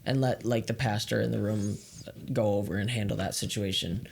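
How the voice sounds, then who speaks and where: A man's voice: lowish voice, steady tone, moderate pace, creaky, a little hiss or hum. A man who leads worship, chatting casually on a podcast and explaining things loosely with restarts. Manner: rambling, restarts mid-thought, explains loosely. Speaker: worship leader and podcast co-host.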